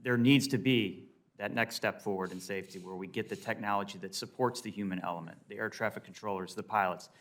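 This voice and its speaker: A man's voice: on the low side, steady tone, quick pace, a bit bright. An American man, an airline pilot, giving unscripted, emphatic and explanatory testimony at a hearing.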